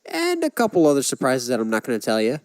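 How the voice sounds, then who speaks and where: A man's voice: mid-range voice, huge pitch swings, quick pace, clear, resonant tone, dry recording. A man co-hosting a podcast, talking casually about films in an opinionated, emphatic way. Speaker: podcast co-host. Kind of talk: casual film discussion.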